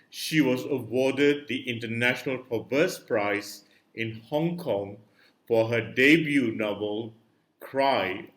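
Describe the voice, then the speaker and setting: Male host of a reading event, unhurried formal introductions, echoey room. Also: medium pitch, fairly bright tone. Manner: slow, deliberate, formal announcing. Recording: roomy echo.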